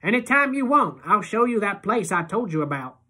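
A narrator voicing a nervous, placating gang boss man who talks loudly and anxiously. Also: medium pitch, sing-song, normal speed, voice pushed up.

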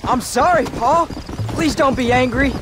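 A man's voice: very high voice, unhurried, noisy background. An actor with an American accent playing a defiant, sulky teenage farm boy in a western video game. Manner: sulky, pleading. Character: defiant, wounded-pride teenage farm boy, cowboy's son. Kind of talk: dramatic video game acting. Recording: game audio with background music.

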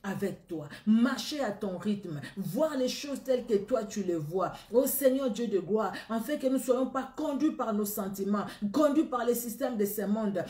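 A woman's voice: on the high side, expressive, normal speed, few pauses, dark tone, reverberant. A middle-aged woman with a Congolese accent, preaching a sermon and leading a spoken prayer in an earnest, repetitive way. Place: indoor room against a plain wall.

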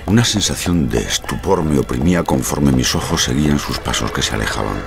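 Grave gentleman visitor, extremely deep gravelly voice, measured literary delivery, Gothic audio drama. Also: lively intonation, over music, heavily acted. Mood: solemn, uneasy. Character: grave, concerned gentleman visitor recounting a horror.

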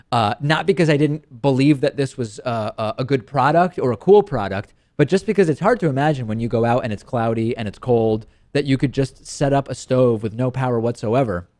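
Male studio host, 30s, keen and playful, mid-pitched voice, close dry mic. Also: normal speed, a little mellow, no echo. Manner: enthusiastic, teasing his co-host. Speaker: show host. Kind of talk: casual product review chat. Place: TV studio set. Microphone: studio mic on a stand.

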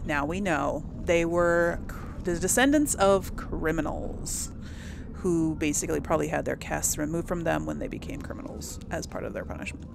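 A woman's voice: low voice, gravelly, background noise. A woman hosting a casual let's-play, reading lore entries aloud and thinking aloud with many ums.

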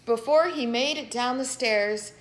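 A middle-aged woman reading a picture book aloud to children with expressive, dramatic emphasis. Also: fairly high pitch, unhurried. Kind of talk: animated children's storybook read-aloud. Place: indoor living room with piano.

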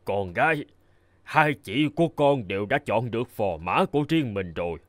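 A man plays an aging fairy-tale king for a cartoon. He is proud and fatherly, declaiming in a stately way with a very lively intonation. The sound is dry and close. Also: medium pitch, normal speed, no echo, natural voice. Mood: calm, authoritative.

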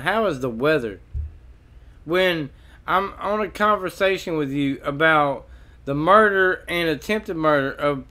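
Male commentator, fairly high voice, emphatic and opinionated, hammers key phrases by repetition. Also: sharp tone. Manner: emphatic, repeats phrases for effect. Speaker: video commentator. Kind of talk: opinionated commentary on an audio recording.

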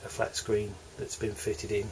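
A man with an English accent walks through the cabins of a moored motor yacht, narrating a sales tour in a relaxed, hesitant way. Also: lowish voice, measured pace, slight background noise, rough recording. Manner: relaxed, hesitant, with fillers and restarts. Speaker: yacht broker. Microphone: handheld camera mic.

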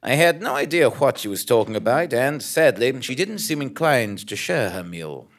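Man playing a pompous journalist, wildly animated pitch. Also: moderate pace. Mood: pompous narration. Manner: pompous, melodramatic. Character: self-important, easily terrified male investigative journalist narrator. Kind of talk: comic first-person narration in live audio drama.

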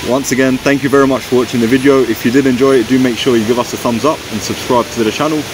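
A man's voice: medium pitch, steady tone, rapid-fire. A young man chatting casually as the host of a video, recorded outdoors in a park. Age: young adult. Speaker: host of a history video channel. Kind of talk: casual chat to camera and guest. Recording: outdoor park noise, distant traffic.

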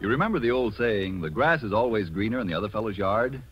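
A man's voice: on the low side, expressive, quick pace, music in the background. An American man announcing a 1940s radio commercial in a polished, emphatic manner. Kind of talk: 1940s radio advertising pitch. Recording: muffled 1940s radio recording.

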